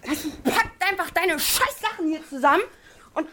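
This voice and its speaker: A woman's voice: very high voice, expressive, normal speed, sharp tone, a little hiss or hum, exaggerated voice. Volatile young girlfriend, clattery background. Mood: furious. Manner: colloquial, confrontational, accusing. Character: volatile, controlling young girlfriend who blames and guilts her partner. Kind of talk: naturalistic German audio drama acting.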